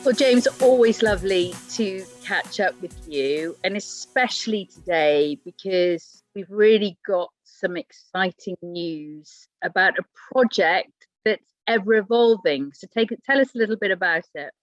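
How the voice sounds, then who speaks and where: A woman's voice: mid-range voice, measured pace, no room sound, faint music behind, low-quality recording. A woman interviewing a podcast host, asking questions in a friendly, flowing way. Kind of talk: conversational interview.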